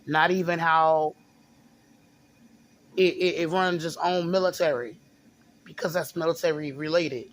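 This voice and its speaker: A man's voice: fairly high pitch, steady tone, a bit bright. Hesitant man with a sore throat. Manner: halting, repeats words, many fillers. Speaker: video blogger. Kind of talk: casual monologue to camera.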